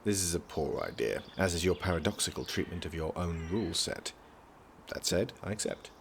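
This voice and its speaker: An actor playing a dry, sardonic young prince in an audio drama, speaking formally with deadpan wit. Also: male voice, low voice, normal speed, slight background noise. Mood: sardonic, bored.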